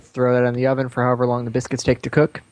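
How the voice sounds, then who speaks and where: A man's voice: mid-range voice, completely flat delivery, very fast, darkish tone, dry recording. A man co-hosting a podcast in casual chat, with a wry, playful way of talking. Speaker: podcast co-host.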